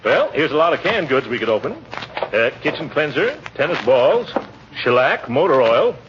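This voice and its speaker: An actor playing a pompous, easily flustered middle-aged uncle in 1940s radio comedy, blustering and stammering. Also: male voice, mid-range voice, very animated, normal speed, lightly acted. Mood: jovial. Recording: noisy, muffled old radio recording.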